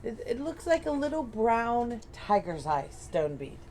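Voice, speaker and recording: A woman's voice: fairly high pitch, very animated, measured pace, warm tone, slight background noise. A woman running a tabletop game as game master, narrating in a chatty, rambling, self-deprecating way.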